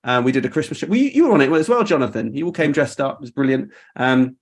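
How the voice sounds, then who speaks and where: A man's voice: mid-range voice, lively intonation, fast talker. Chatty man hosting a casual show. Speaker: host of a marketing show. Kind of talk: casual live-stream hosting chat.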